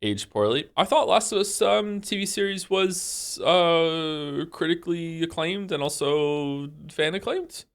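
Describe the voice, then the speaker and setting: A man around forty, a game streamer chatting casually on a live stream with a congested voice, rambling with many fillers and restarts in a low-key, mildly self-mocking way. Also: lively intonation, measured pace, few pauses, fairly bright tone, clear, resonant tone.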